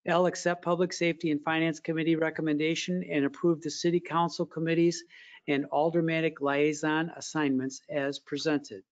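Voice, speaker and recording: A man chairing a council meeting by video call, reading agenda items in a steady, formal manner. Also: on the high side, moderate pace. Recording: dull lo-fi video call audio.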